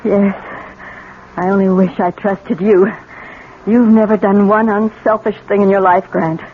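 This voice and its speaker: A woman's voice: medium pitch, steady tone, unhurried, narrow, tinny audio, lightly acted. An actress playing a bitter, wounded, defiant wife and mother in a melodramatic 1970s radio play, emotional and reproachful. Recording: noisy, band-limited old radio recording.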